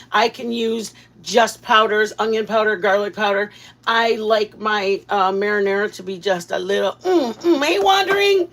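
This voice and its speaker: A woman hosting a casual live cooking stream from her home kitchen, excitable, rambling and quick to giggle. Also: on the high side.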